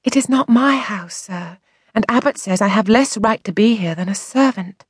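A woman's voice: medium pitch, normal speed, husky, no room sound, clean studio sound, pitched above the performer's own voice, lightly acted. A woman voicing an unhappy, earnest orphan girl in a storybook reading, with a plaintive manner. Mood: aggrieved.

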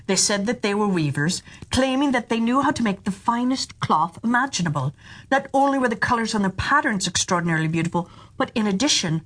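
A woman reads as a children's audiobook storyteller. Her delivery is theatrical and playful. She shapes each phrase deliberately and expressively, at an ordinary pitch for a woman. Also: husky.

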